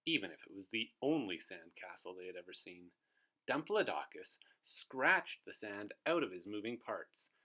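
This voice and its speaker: Male storybook host for young children, lively and varied delivery, bright tone, engages listeners with questions. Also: on the low side. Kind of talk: animated children's storybook read-aloud.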